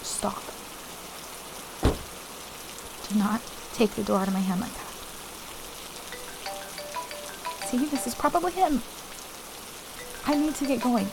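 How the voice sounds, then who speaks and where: A woman playing a distraught, trapped partner in an intimate audio roleplay, pleading and halting as she repeats herself. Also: fairly high pitch. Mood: urgent, evasive. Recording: steady rain noise behind the voice. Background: rain.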